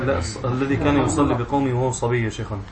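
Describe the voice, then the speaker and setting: Very fast-talking man reading notes. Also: mid-range voice, steady tone, over a noisy crowd. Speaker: student assistant reading scholarly notes. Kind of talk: rapid scholarly reading and discussion.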